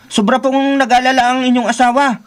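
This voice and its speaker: Very high-pitched, anxious village helper. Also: male voice, level tone, a little fast. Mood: concerned, polite. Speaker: radio drama actor. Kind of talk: Filipino radio drama acting.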